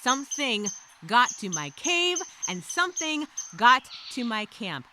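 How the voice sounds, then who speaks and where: A woman's voice: fairly high pitch, big pitch swings, a little slow, background noise. A woman voicing an anxious, rambling castaway who narrates a story as a dramatic, theatrical first-person journal. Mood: worried, exasperated. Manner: theatrical, overthinking, repeats herself, self-questioning.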